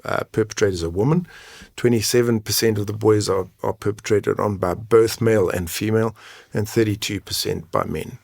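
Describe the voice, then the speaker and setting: A man's voice: fairly low pitch, fairly even intonation, brisk, vocal fry, darkish tone, no room sound, clean studio sound. A man explaining things frankly and conversationally as an interview guest, often checking his points with a tag question. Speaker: guest, men's mental health coach and advocate.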